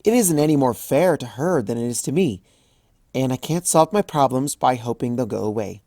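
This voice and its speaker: An anxious young unicorn mare talks things through in an earnest, worried tone, as a reader puts her on. It stays near the narrator's own voice, a touch quieter, with a dry close sound. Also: mid-range voice, lively intonation, normal speed, no echo, natural voice. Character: anxious studious young female unicorn pony.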